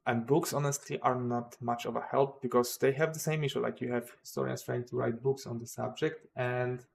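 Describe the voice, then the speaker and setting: A man's voice: medium pitch, little pitch movement, moderate pace, creaky. A man with a Polish accent, a native Polish speaker, hosting a casual livestream and rambling hesitantly with many fillers and restarts. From Poland. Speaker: modding livestream host.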